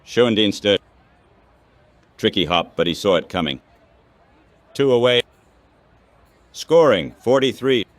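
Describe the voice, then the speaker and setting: Slow-paced synthetic male baseball announcer. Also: lowish voice, expressive, dry recording. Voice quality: synthetic text-to-speech voice. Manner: short clipped phrases. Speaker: baseball play-by-play commentator. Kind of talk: radio-style baseball commentary.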